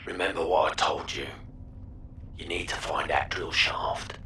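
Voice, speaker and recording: An actor playing a sly, gruff underworld informant in a video game, with a breathy, whispery growl and a conspiratorial manner. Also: male voice, a bass voice, steady tone, measured pace, pushed and bright, reverberant, distant mic, faint music behind, full character voice. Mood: sly, informative. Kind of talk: video game character acting.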